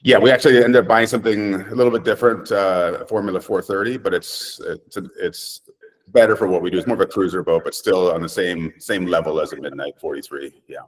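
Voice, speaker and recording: A man's voice: deep voice, fairly even intonation, fairly quick. A middle-aged man from Canada giving conversational interview answers as a property developer, emphatic and speaking in short declarative sentences.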